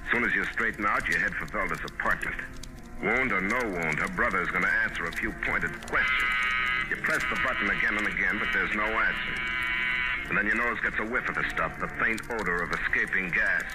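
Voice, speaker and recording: A man's voice: low-pitched, brisk, lightly acted, rough recording. A man playing a cynical, tough private detective in 1950s radio noir style, terse, wry and world-weary. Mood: grim. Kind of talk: 1950s radio noir acting and second-person narration. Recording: old radio recording with music.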